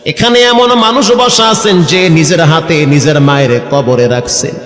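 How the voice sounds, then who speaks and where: A man with a Bangladeshi accent preaching an emotional storytelling sermon to a congregation in a dramatic, drawn-out, chant-like manner. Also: fairly high pitch, fairly bright tone. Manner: dramatic, drawn-out, chant-like delivery with rhetorical questions. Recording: echoey reverberant PA sound, faint music.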